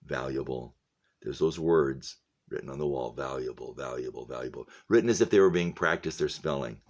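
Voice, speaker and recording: A man reading a novel aloud like a teacher to a class, deliberately and expressively, letting phrase endings drop to a hush. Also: extremely low pitch, dull audio. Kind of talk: expressive audiobook-style reading with casual spoken commentary.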